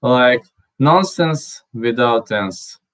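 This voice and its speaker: A man whose first language is Serbian talks casually through viewer comments at an ordinary middle pitch. He halts often, fills the gaps and restarts his sentences. He spells out words he can't pronounce. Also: expressive, a bit bright. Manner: halting, with many fillers and restarts. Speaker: channel host. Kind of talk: casual live chat, reading viewer comments.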